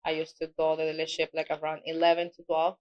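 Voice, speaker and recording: A woman's voice: low-pitched, monotone, fast, highs cut off. A middle-aged woman with a Spanish accent, a native Spanish speaker, telling her work story in a casual interview with small grammar slips. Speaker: guest, mortgage loan officer.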